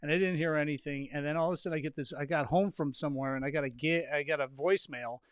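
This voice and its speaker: A man's voice: on the high side, fast, no echo, studio quality. A man hosting a podcast, telling anecdotes in an informal, slangy, casual style. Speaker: podcast host.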